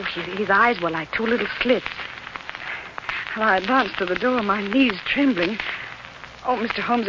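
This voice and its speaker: An actress playing a polite, anxious young governess, earnest and hesitant, in 1940s radio style. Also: female voice, mid-range voice, lightly acted. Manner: earnest, hesitant, well-spoken. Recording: muffled, noisy old-time radio recording.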